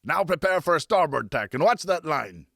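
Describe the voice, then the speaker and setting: A bossy riverman playing ship's commander in a storybook tale, voiced by a male narrator. He barks nautical orders in a raised pitch, encouraging his young crew, recorded dry and close. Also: big pitch swings, normal speed, vocal fry, a bit bright, no echo, heavily acted. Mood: commanding.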